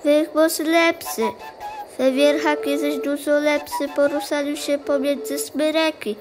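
A woman voices a clever, kind young male sheepdog in a highland audio play. The voice is high and reassuring, with a Polish Goral accent. Music is clearly heard underneath. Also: flat delivery, unhurried. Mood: helpful, eager. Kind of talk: audio play dialogue in dialect.

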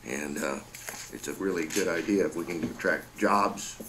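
A man's voice: sits low, moderate pace, a bit bright. A man on a board, giving informal remarks at a meeting. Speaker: board member. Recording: noisy meeting room recording.

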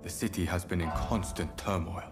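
An actor playing a formal feudal Japanese lord in a video game, measured and authoritative. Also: male voice, deep voice, fairly even intonation, close to an everyday voice. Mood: solemn. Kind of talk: video game cutscene acting. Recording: very loud plucked-string music behind.

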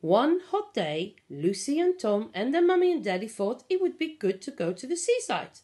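A middle-aged woman reading a picture book aloud to young children, explaining and asking simple questions in an expressive way. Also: a little high, normal speed. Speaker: pre-school storyteller. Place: small living room at home. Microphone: phone or tablet at about arm's length.

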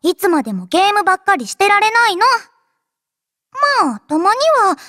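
A woman's voice: very high voice, very animated, a little fast, fairly bright tone, dry recording, clean studio sound, full character voice. A woman voicing a cheerful, childlike android girl in an anime game, playful and boastful in manner. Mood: teasing. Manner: playful, childlike, refers to herself by name. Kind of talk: anime game character voice lines. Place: dry studio.

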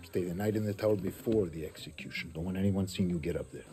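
A man plays a gruff guerrilla chief giving orders in a video game. His voice is low and very mellow. Faint music plays behind. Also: quick pace, lightly acted. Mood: commanding. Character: gruff, commanding middle-aged guerrilla chief. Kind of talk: dramatic video game cutscene acting.

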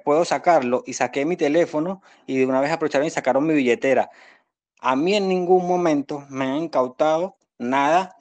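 A young man with a Venezuelan accent and a slightly high voice gives spontaneous testimony on a video call. He explains himself earnestly and repeats himself as he pleads his case as a defendant. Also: a little mellow. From Venezuela, born in Caracas. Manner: earnest, repetitive explaining with apologies to the judge. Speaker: defendant speaking at a court hearing. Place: indoors against a plain wall, on a video call. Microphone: phone at arm's length on video call.

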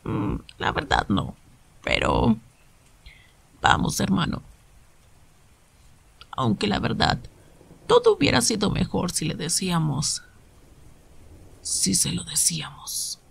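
A man acting an animated, raspy anime hero. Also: sits high, slow pace, long pauses, heavily acted. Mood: wistful, pleading. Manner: exclamatory, teasing, swinging from loud to hushed. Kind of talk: anime fan-dub ASMR roleplay.

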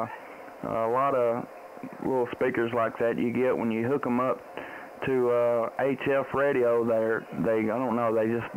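A middle-aged man hosting a casual amateur radio livestream, speaking close to a boom microphone. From Tennessee, United States. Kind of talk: casual ham radio chat and calling. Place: small indoor home radio room. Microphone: close boom microphone with headset. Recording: dull lo-fi sound, faint radio noise.

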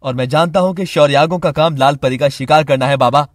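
A man's voice: on the high side, a little fast, dry recording, studio quality, lightly acted. A man voicing a brave, earnest young warrior prince in a cartoon. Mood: resolute. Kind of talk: Hindi cartoon voice acting.

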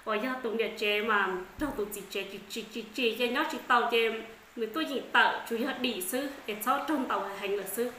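Woman, 30s, vlog host telling a story to camera; slightly high, crisp voice; roomy echo. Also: brisk, sharp tone. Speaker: channel host. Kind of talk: talking to camera. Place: dim wood-walled room indoors. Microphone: camera in front at desk distance. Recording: echoey room with faint background noise.

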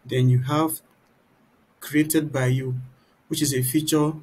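A man giving an informal, explanatory software tutorial, often turning statements into little rhetorical questions. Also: on the high side, flat delivery, slow pace, poor audio. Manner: explanatory, poses rhetorical "what?" questions mid-sentence. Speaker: tutorial presenter. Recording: clear background noise.

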